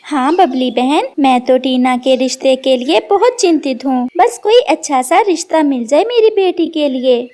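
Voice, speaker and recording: A woman voicing a worried, gently scolding mother bird in a children's cartoon, with a concerned, admonishing manner. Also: high voice, lively intonation, normal speed, hardly any pauses.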